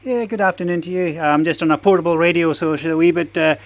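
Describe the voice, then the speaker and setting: A man's voice: a little high, steady tone, fast talker, very noisy. A man with a New Zealand accent making friendly, informal amateur radio calls with call signs and phonetic spelling.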